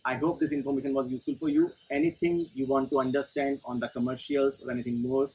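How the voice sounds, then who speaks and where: A man's voice: medium pitch, animated, quick pace, gravelly, a little mellow, dull audio, slight background noise. A man in his forties with an Indian English accent, explaining a farming business to camera step by step, standing in a greenhouse. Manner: methodical, explains step by step with figures. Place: inside a greenhouse among leafy crops.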